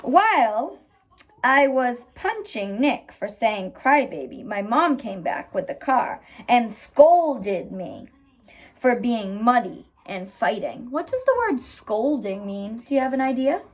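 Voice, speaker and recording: A woman reading a picture book aloud expressively as a teacher, stopping to put simple questions to a child. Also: on the high side. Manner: animated, pauses to ask simple questions.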